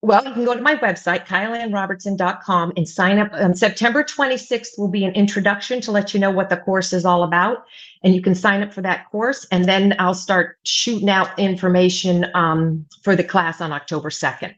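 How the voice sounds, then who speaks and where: A woman's voice: medium pitch, steady tone, a little fast, a bit bright, lo-fi sound. A middle-aged woman who grew up in the United States, a writing coach talking casually as a guest, rambling with many fillers and restarts. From United States, mostly Virginia Beach. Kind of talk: casual interview talk.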